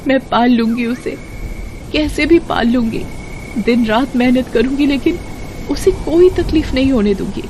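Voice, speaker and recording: A woman's voice: sits high, background noise, voice pushed up. Woman voicing a humble, sorrowful poor mother. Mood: anguished resolve. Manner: pleading, sorrowful, halting. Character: poor widowed young mother, humble, tearful and devoted. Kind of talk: acted dialogue in a moral story.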